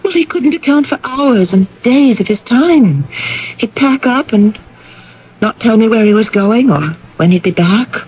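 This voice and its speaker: A troubled, suspicious woman, expressive radio drama. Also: fairly high pitch, a little slow, husky, dull audio. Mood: troubled, insistent. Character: troubled woman confiding suspicions about a man's secret life. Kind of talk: 1970s radio drama acting. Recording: band-limited 1970s radio broadcast.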